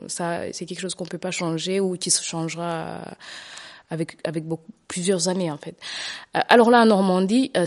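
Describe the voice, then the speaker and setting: Hesitant low-voiced woman. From Rwanda. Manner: hesitant, searching for words, often repeating herself. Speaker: genocide survivor giving testimony. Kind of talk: spoken personal testimony in an interview.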